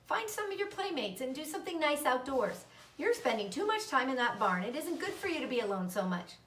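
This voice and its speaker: A woman's voice: high-pitched, very animated, a little fast, a bit bright, slight background noise, voice pushed up. Woman voicing a fretful mother, roomy echo. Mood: coaxing. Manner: anxious, questioning, fretful. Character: worried, nervous mother of a young girl. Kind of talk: children's book read-aloud. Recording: roomy echo, distant microphone.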